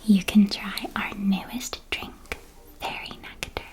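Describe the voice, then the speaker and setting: A woman's voice: mid-range voice, flat delivery, takes it slowly, natural voice. Woman playing a whispering, welcoming witch shopkeeper. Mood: warm, welcoming. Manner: soft-spoken, hushed, gently enthusiastic sales patter. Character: cheerful, welcoming witch keeper of magical sweet shop. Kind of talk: ASMR roleplay, whispered.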